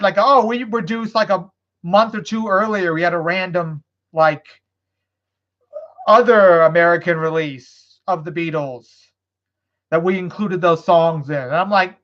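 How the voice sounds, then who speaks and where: A woman's voice: fairly low pitch, fairly bright tone. Rambling, swearing woman on a lo-fi stream. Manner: rambling, swearing, loose after beers. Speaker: live streamer. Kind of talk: casual rambling chat. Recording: dull lo-fi stream audio.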